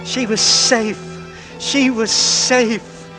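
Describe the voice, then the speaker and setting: A man's voice: extremely high pitch, fairly even intonation, extremely slow, full character voice. A man acting a nervous businessman who narrates an old radio drama. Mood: frantic. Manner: tense, hushed, rising to frantic. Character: anxious middle-aged English businessman, narrator. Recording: old radio broadcast with music.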